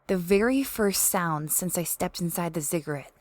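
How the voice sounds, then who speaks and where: A woman's voice: on the low side, expressive, normal speed, a little hiss or hum, lightly acted. A woman playing a space explorer who recounts a strange expedition in a conversational, first-person audio drama style. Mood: reflective, curious. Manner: reflective, conversational storytelling.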